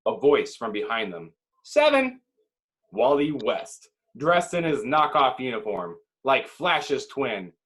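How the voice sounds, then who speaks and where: A man's voice: medium pitch, animated, measured pace, few pauses, a bit bright. A man reading screenplay stage directions as the dramatic narrator of a table read.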